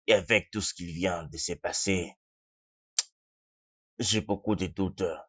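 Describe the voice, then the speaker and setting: A man's voice: low-pitched, breathy edge, no room sound, lo-fi sound. A man playing a worried middle-aged father in a melodrama, soothing and pleading with repeated phrases. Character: worried older father soothing his grown children.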